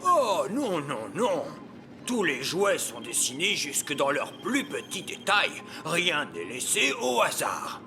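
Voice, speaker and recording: A man's voice: fairly high pitch, wildly swooping pitch, slow pace, full character voice. A man voicing a wise, proud old male elf in a children's cartoon, courteous and formal, with emphatic delivery. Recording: long reverb, music behind.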